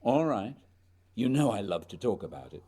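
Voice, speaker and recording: An actor playing an earnest, haunted polar explorer in a radio play, speaking lyrically and deliberately in a husky voice. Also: male voice, lowish voice, big pitch swings, mellow. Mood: reluctant, hesitant. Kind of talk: poetic radio drama acting.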